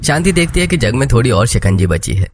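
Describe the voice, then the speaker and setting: A man's voice: mid-range voice, big pitch swings, quick pace, music in the background. A man reading scripted narration for a cartoon family story.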